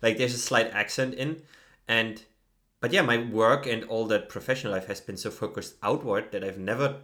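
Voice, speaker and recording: Low-voiced man chatting casually. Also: normal speed. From Germany. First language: German. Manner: relaxed, peppers speech with "you know" and "like". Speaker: podcast co-host. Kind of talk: casual conversational podcast.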